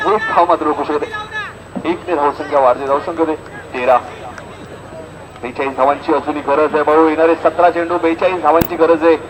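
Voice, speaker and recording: A man's voice: fairly high pitch, a little fast, pauses often, noisy background. A man with a Maharashtrian accent giving live local cricket commentary, repeating score lines and dropping chatty asides to listeners. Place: outdoors at a cricket ground.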